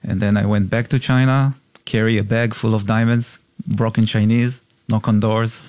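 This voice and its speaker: A middle-aged man from Israel, a diamond company co-founder, answering interview questions in halting, simple phrasing with fillers. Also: on the low side, little pitch movement, a little mellow, no room sound.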